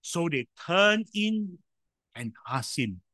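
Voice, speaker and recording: A man preaching a sermon in a loud voice, emphatic, with sudden swings in volume and frequent rhetorical questions. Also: on the high side, sing-song, slow, dry recording.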